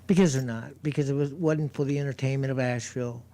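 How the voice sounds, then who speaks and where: Loud, mellow-voiced man rambling. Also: mid-range voice, fairly even intonation, quick pace. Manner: rambling, with many fillers and restarts. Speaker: street performer guest. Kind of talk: casual radio chat. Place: community radio studio.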